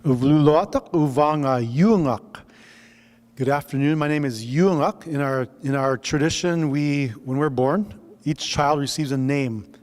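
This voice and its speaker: A man from Alaska, presenting at a workshop in a relaxed, conversational way as he introduces himself. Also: fairly high pitch, unhurried, in a large hall. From Unalakleet, Alaska, United States. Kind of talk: moderating and self-introduction.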